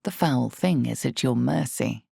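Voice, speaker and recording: An ominous female storyteller for a fantasy role-playing game. Her voice sits extremely low and moves slowly, recorded close and dry. She is deliberate and slyly knowing, dropping into hushed emphasis for drama. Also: vocal fry, breathy edge, dry recording, full character voice. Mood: measured, grave. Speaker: game narrator.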